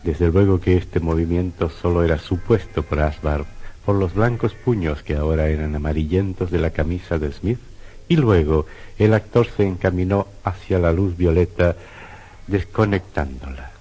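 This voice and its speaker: A man's voice: low voice, moderate pace, dark tone. A man narrating a scripted horror radio drama in a solemn, dramatic style. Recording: dull lo-fi radio recording. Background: faint music.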